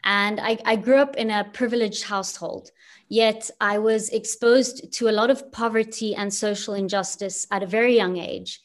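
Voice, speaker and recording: A woman's voice: mid-range voice, steady tone, normal speed. A woman from Zimbabwe speaks as an entrepreneur presenting to a webinar audience, sharing her personal journey. Her talk is earnest and reflective, and she often asks rhetorical questions, heard through a video call connection.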